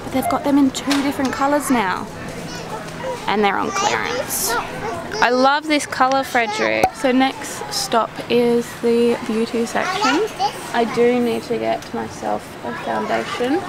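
Young Australian woman, creaky high-pitched voice. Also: expressive, a little slow. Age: young adult. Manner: gushing, enthusiastic. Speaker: vlogger. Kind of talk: casual shopping vlog chat. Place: inside a busy department store. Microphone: handheld camera at arm's length.

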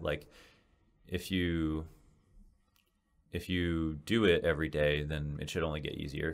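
A young man in his twenties with a deep, slightly raspy voice, hosting a casual solo podcast and rambling with many restarts. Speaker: podcast host and esports caster. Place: small home room, indoors. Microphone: large studio mic in front of face.

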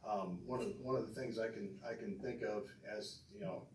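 A man's voice: on the low side, steady tone, fairly quick, darkish tone. A middle-aged man gives an earnest tribute speech from a podium in a banquet room, reading from notes with fillers and restarts. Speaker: speaker inducting his father into a hall of fame. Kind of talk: prepared tribute speech with asides to the audience. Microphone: podium mic heard from a far camera. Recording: roomy and distant with faint room noise.

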